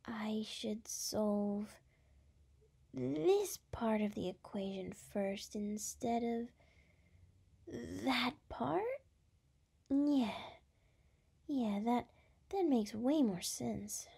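Woman acting a slow, breathy, brash young gal. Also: on the high side, warm tone, exaggerated voice. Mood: scheming, teasing. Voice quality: breathy, often dropping into whispers. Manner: halting, teasing, exasperated. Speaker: voice actress. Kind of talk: ASMR roleplay.

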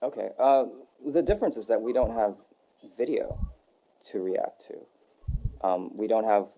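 A young adult man answering interview questions in a relaxed, rambling way, with many fillers and restarts. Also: on the low side, unhurried, highs cut off. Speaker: voice actor guest. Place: Texas.

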